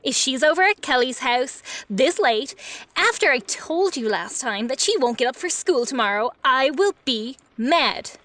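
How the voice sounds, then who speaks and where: A woman playing a chatty, fussing wife and mother in a radio play, breezy and expressive. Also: sits high, moderate pace. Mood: fretting, annoyed.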